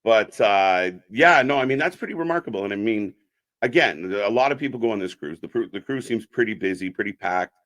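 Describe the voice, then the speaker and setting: A man's voice: on the low side, animated, fairly quick, fairly bright tone. A man hosting an informal interview in casual chat style, often repeating short affirmations. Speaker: host of a wrestling fan channel.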